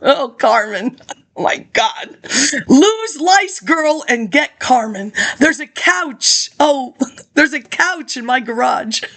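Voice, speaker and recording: High-voiced middle-aged woman ranting. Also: animated, takes it slowly, fairly bright tone. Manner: rambling and indignant, often laughing. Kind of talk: rambling personal monologue.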